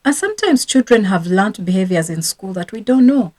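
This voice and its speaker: Woman from rwanda, earnest, confiding, mid-pitched, casual talk to camera. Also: lively intonation, brisk, darkish tone. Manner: earnest, confiding, admits her own regrets. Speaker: parenting coach and teacher.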